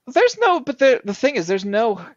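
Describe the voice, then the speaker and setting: A young man co-hosting a casual podcast, who talks in a hesitant, halting way and often corrects himself. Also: very high voice, wildly swooping pitch, no echo. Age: young adult. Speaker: podcast co-host. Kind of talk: casual chat. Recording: dry, dull lo-fi mic.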